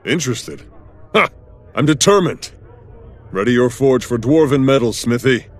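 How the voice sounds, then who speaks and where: A young warrior hero voiced by a man, boastful and determined. He speaks in a mid-pitched voice with bold, declamatory delivery, made for a fantasy game. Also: lively intonation, normal speed, natural voice. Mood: eager, resolute. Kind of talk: fantasy video game dialogue.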